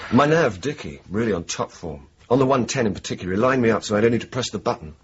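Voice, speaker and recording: A low, dry-humoured young wartime air force officer played by a male actor in a radio play. He talks in clipped, ironic understatement, on a dull recording with faint hiss. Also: expressive, brisk, close to an everyday voice. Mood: wry, animated. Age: young adult. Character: wry, bantering young RAF bomber officer. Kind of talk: radio drama dialogue and narration. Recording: dull, hissy 1980s radio broadcast.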